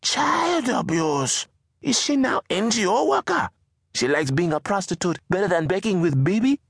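A man voicing a fierce, toothless mother in a slum shack, scolding in a high, sharp voice. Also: big pitch swings, measured pace, slightly rough, no echo, studio quality, exaggerated voice.

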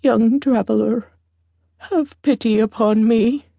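A woman voicing a wise old beggar woman in a storybook tale, speaking in a deliberate, imploring and instructive manner. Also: on the high side, steady tone, vocal fry, mellow, husky, no room sound, lo-fi sound, full character voice. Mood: pleading.

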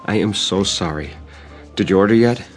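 A man's voice: low voice, moderate pace, natural voice. An actor playing a harried, apologetic young man fed up with work, earnest and flustered, in an audio drama. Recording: faint music behind.

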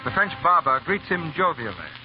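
A man's voice: on the high side, very animated, moderate pace. A man giving dramatic scripted narration for a vintage radio play, on a muffled recording with music behind.

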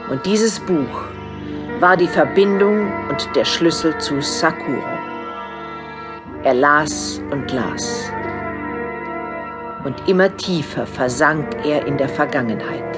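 A narrator reading a scripted horror story for an audio drama. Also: medium pitch.

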